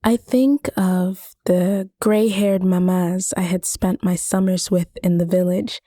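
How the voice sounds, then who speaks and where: A woman reads first-person literary fiction in a dark, husky voice with expressive, deliberate phrasing. She takes her time over each phrase, and the sound is close and dry. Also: mid-range voice, no room sound. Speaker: narrator reading a short story.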